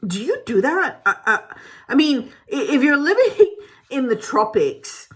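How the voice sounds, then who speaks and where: A woman's voice: high voice, very animated, unhurried. A woman who grew up in Malaysia, chatting to camera at home in a rambling, casual way with many restarts. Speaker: beauty vlogger. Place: home, indoors.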